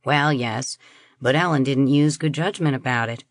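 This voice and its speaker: A man's voice: mid-range voice, moderate pace, dry recording, lightly acted. A woman voicing a stiff, prejudiced middle-aged husband who talks in a stubborn, evasive way.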